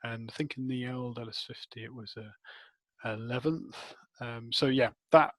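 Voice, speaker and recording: A man's voice: mid-range voice, steady tone, measured pace, fairly bright tone, no room sound. A man giving technical interview answers as an expert, thinking aloud and often rephrasing as he goes. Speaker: interviewed expert, head of research and development.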